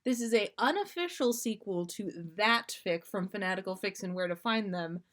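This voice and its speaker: A woman podcast host reading fan fiction aloud on a livestream in an amused, expressive storytelling way. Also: mid-range voice, moderate pace.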